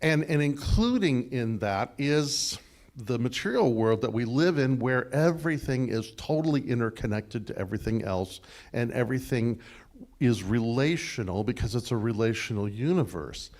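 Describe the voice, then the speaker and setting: A man with a big voice giving a discursive, animated sermon response, full of fillers and restarts. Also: medium pitch, normal speed. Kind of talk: conversational sermon dialogue.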